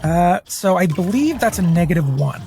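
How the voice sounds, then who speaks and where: A player with a husky voice vividly describing their character in a tabletop game. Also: mid-range voice, lively intonation, normal speed, a little mellow, soft background music.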